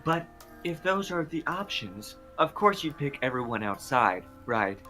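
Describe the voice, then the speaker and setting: Frank anime student, voiced by a woman: low register, lively inflection, music underneath. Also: a little slow, full character voice. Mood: reasonable. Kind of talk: amateur anime fandub acting.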